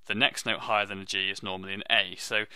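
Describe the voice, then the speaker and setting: A man with a low, deep voice and a strikingly bright, crisp tone teaches music theory. He explains each step in a friendly, modest way. The recording is close and dry, with no room sound. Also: quick pace, dry recording. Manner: friendly, self-deprecating, steady explaining while drawing. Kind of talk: online music theory lesson.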